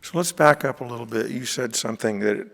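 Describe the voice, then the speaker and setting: Gravelly host, rambling curious questions. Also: male voice, mid-range voice, fast, a bit bright, in a large hall. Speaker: interviewer, show host. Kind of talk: casual interview.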